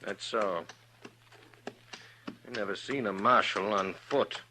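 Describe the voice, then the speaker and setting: Weary american western drifter, low sharp voice, rustic and laconic, noisy old radio show. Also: male voice, slow pace, heavily acted. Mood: dry, folksy. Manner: rustic, laconic, folksy ungrammatical speech. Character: weary, folksy male drifter of the Old West. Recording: noisy vintage radio recording.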